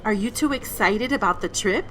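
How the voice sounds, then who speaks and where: A woman playing a patient, gentle mother who was born in Rico. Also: mid-range voice, moderate pace, close to an everyday voice. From Puerto Rico. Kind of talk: audio drama acting.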